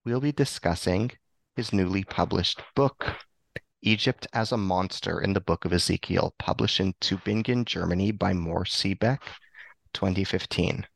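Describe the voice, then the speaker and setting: Male podcast host, deep gravelly voice, formal measured delivery. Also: no room sound, poor audio. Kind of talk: scripted podcast introduction.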